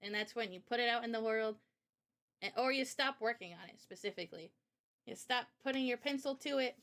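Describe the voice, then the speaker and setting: Woman streamer just chatting, highish bright voice, really earnest and passionate about what she does. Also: brisk. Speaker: live stream host interviewing a guest; illustrator. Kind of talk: casual unscripted chat.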